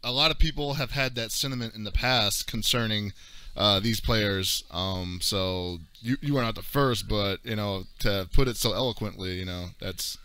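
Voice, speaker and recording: A man's voice: lowish voice, moderate pace, bright, forceful tone, clear, resonant tone. A man hosting a casual interview, easygoing and amused, nudging his guest along. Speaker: podcast host interviewer.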